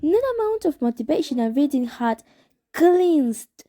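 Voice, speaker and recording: A young woman whose first language is Spanish, reading a novel aloud in English with a Spanish accent and stopping for casual asides, unhurried and correcting herself as she goes. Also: high voice, very animated, warm tone. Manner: unhurried, hesitant, self-correcting. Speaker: amateur reader of a novel.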